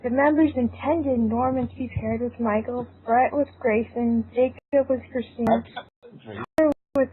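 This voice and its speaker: A woman's voice: on the high side, fairly even intonation, a little slow, slightly rough. A woman narrating a fan-fiction story in a group reading, mixing scripted reading with casual chat. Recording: tinny narrowband voice call, faint noise, clicks.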